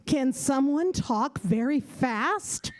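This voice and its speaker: A woman's voice: high voice, lively intonation, slow pace, a little mellow. A woman co-presenting a children's audio theater show with scripted, playful and theatrical questions. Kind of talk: scripted stage patter for children. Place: live event at a public library.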